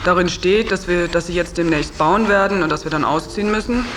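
A man's voice: high voice, brisk, a bit bright. A man giving a matter-of-fact explanation in an unscripted radio interview. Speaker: interviewee, house project resident. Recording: faintly noisy interview recording.

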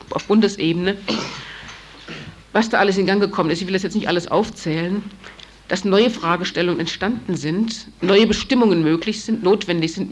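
A woman giving a spontaneous talk at an event, searching for words and restarting sentences often. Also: fairly low pitch. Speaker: speaker at a political event. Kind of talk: spontaneous lecture. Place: event hall.

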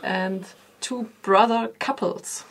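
Woman hosting a radio interview, careful hesitant english, middle pitch. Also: fairly even intonation, slow. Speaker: radio show host. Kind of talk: studio interview. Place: radio studio.

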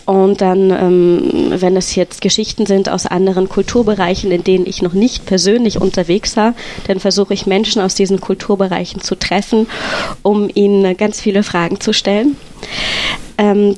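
A woman's voice: mid-range voice, a little mellow, a little hiss or hum. Enthusiastic female storyteller in an interview. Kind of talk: spontaneous conversational interview answers.

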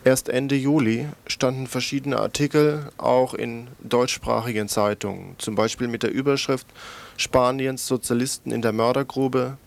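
Gravelly man reading a radio report. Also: mid-range voice, steady tone, normal speed. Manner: matter-of-fact, with small stumbles. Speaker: radio news presenter. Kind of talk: scripted news report reading.

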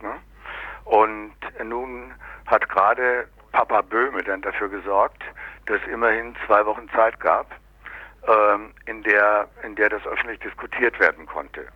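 A low-voiced man gives a sardonic, unscripted political commentary. He speaks colloquially and haltingly, searching for words. The sound is dry and dull, like an old local radio recording. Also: husky, no echo. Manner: sardonic, colloquial, often tags statements with "ne?". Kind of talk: unscripted critical political discussion.

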